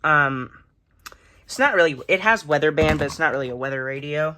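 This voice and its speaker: A young man giving a casual, unscripted show-and-tell, halting and matter-of-fact as he lists items. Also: a little high, sharp tone. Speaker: hobbyist collector giving a tour. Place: indoors in a room, handling plastic bins. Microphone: handheld phone camera. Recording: noisy handheld recording with clattering.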